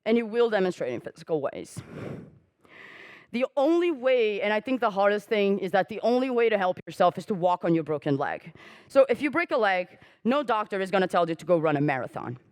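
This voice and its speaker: A woman's voice: sing-song, brisk, darkish tone, dry recording. A young adult woman whose first language is Portuguese, giving a candid, self-mocking conference talk on stage, swearing casually and acting out quoted conversations. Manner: acts out quoted dialogue with jumps in pitch. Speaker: conference speaker.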